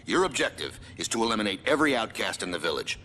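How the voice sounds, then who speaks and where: A man playing a gruff, businesslike military commander who gives terse, authoritative orders in a video game. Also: on the low side, sing-song, fast talker, bright, forceful tone. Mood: stern, businesslike. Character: gruff, businesslike male military commander briefing his squad. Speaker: mission commander. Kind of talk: video game cutscene acting. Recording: very noisy game audio.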